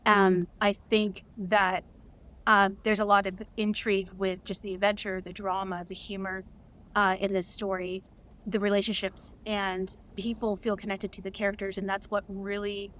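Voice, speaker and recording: A woman's voice: medium pitch, little pitch movement, moderate pace, a bit bright, slight background noise. A woman talking casually as an interview guest, explaining things in an earnest manner. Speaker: interview guest, director and writer.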